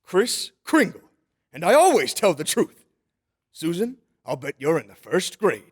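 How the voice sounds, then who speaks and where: An actor playing a kindly, sincere elderly Santa Claus in an old-time radio drama, husky and courteous. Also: male voice, a little high, very animated, measured pace, exaggerated voice. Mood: kindly, curious. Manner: gentle, earnest, courteous.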